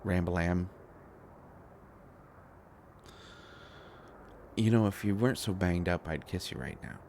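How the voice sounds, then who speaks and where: A man acting a shy, flustered, devoted inventor boyfriend in an intimate roleplay audio drama, talking in halting, stammering rambles. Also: sits low, darkish tone, slight background noise. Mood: playful, affectionate.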